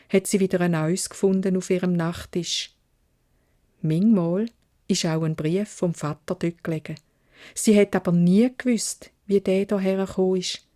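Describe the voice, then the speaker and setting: A woman with a Swiss German accent narrating a children's fairy tale in a measured, expressive way. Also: mid-range voice. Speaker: storyteller.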